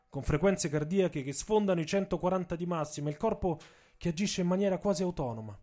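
Higher-pitched man, dramatic storyteller. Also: dark tone. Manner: theatrical, intense delivery. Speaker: author and narrator of radio theatre.

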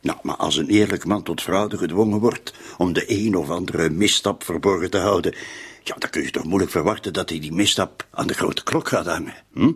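An actor playing a calm, probing police inspector in a radio play. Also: male voice, low voice, fairly quick, slightly rough. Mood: reasoning.

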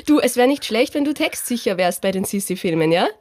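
A woman's voice: medium pitch, lively intonation, brisk, darkish tone, natural voice. A woman playing a gushing, hyped-up rock radio host, chatting chummily. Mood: playful hype. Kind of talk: excited radio show presenting.

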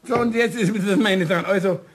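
An actor playing a merry, tipsy grown man with a husky voice, giggly, stubborn and repeating himself in a radio play. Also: high voice, brisk. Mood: tipsy, boisterous. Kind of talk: German radio play dialogue.

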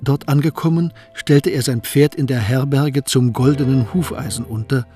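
A man narrating a radio play in an even storytelling manner. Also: mid-range voice, moderate pace, faint music behind.

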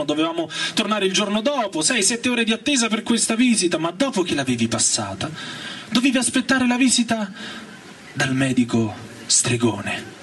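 A man's voice: sits high, slightly rough. A man giving a dramatic, colloquial reading of a novel, telling it emphatically in short phrases. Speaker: reader and performer of a novel. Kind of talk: dramatic spoken-word reading with music. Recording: distant mic under loud music. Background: electric organ and guitar music.

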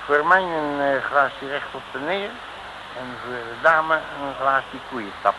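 A man's voice: mid-range voice, slow, lightly acted. An actor playing a brash, wily male detective with chatty, folksy patter in a 1960s Dutch radio comedy serial. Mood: sly. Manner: cheeky, folksy, wheedling patter. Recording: noisy old radio recording.

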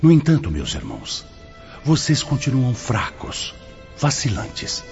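A man's voice: mid-range voice, huge pitch swings, a little slow, gravelly, faint music behind, heavily acted. A Brazilian man acting in a radio drama as a solemn, authoritative spirit mentor, declaiming like a preacher. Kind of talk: radio drama acting, oratorical sermon-like address. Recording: muffled, noisy, long hall reverb.

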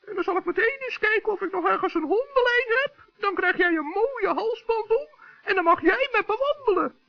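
A man's voice: very high voice, animated, a little fast, vocal fry, pitched above the performer's own voice, full character voice. A man voicing a small, cheerful, chatty woodland gnome who teases kindly in a children's radio play. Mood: cheerfully teasing. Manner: chatty, playfully teasing. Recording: dull old 1960s radio recording.

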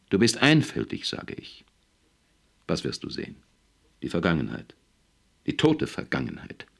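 Narrator doing a stern academic husband, deep creaky voice, strained and barely holding it together, audiobook. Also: male voice, a bit bright, exaggerated voice. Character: distraught male historian, stern husband barely keeping control.

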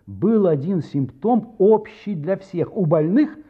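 An earnest man investigating a crime in an audio drama. His voice is a little high and somewhat husky as he carefully lays out his reasoning. The sound is slightly dull. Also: animated, takes it slowly, heavily acted. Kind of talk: audio play dialogue and narration. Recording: slightly muffled recording.

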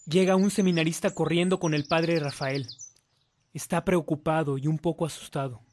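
A man's voice: a little high, fairly even intonation, normal speed, darkish tone, a little hiss or hum. A man narrating a scripted radio drama.

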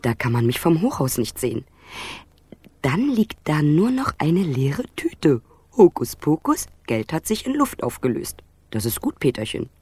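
An actress playing a cunning, cool scheming wife in a radio crime comedy. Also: female voice, low-pitched, darkish tone, husky, dry recording, full character voice. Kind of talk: radio drama acting.

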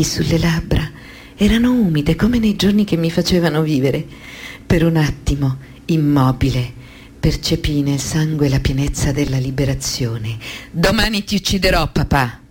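An actress playing an anguished woman who survived abuse, in an intense, deliberate radio-drama monologue. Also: sits low, a little mellow, a little hiss or hum, natural voice. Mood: cold resolve.

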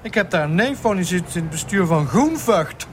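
A man's voice: high voice, animated, moderate pace, a little hiss or hum. An actor playing a fussy, easily startled man on a plane in a satirical radio play. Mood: fussy, surprised.

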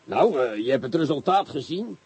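A man playing a grumbling, sceptical police commissioner in a radio drama, husky and fussily exasperated. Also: on the high side, big pitch swings, moderate pace, a little mellow, full character voice. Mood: argumentative. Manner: fussy, exasperated, hesitant. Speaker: actor.

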